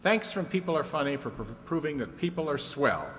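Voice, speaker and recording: A man's voice: on the high side, big pitch swings, brisk, a bit bright. A man playing a genial, talkative 1950s radio game show host with folksy showman's patter. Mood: congratulatory. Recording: reverberant hall with faint noise.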